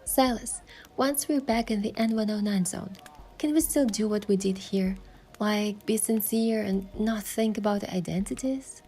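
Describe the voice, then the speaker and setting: A woman playing a sincere young heroine, narrating her romance story in a soft, measured and expressive way. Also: mid-range voice, natural voice. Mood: earnest, tentative. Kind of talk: scripted first-person story narration and dialogue. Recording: music behind the voice.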